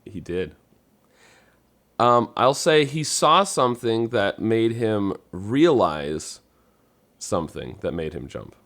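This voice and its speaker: A man chatting casually as a puzzle master, answering in a measured, hesitant way. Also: lowish voice, lively intonation, fairly bright tone. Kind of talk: casual chat, giving clues.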